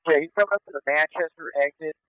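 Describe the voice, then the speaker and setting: Male police officer, clear smooth tone through dry, dull radio, halting live pursuit commentary. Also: fairly high pitch, no room sound. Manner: terse, halting callouts. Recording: muffled police scanner radio.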